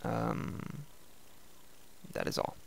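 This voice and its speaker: A young man with a raspy voice, casually chatting and thinking aloud as he hosts a gameplay video. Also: very slow, heavy background noise. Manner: relaxed, chatty, thinking aloud while playing. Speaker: let's play host.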